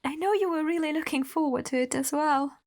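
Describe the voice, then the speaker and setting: A woman's voice: high-pitched, brisk. A woman playing an obsessive, possessive and volatile lover with sweet menace in an audio horror drama. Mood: doting, apologetic.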